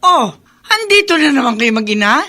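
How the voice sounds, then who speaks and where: A woman's voice: sits high, very animated, moderate pace, bright, forceful tone, soft background music, heavily acted. A woman playing a callous, brash, lying aunt in a Filipino radio drama, scolding and dismissive. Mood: irritated, dismissive.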